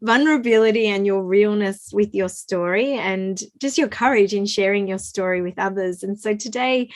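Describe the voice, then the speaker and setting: A woman's voice: medium pitch, moderate pace. A woman hosting a podcast interview, encouraging her guest with frequent affirmations. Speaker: podcast host. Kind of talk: interview chat.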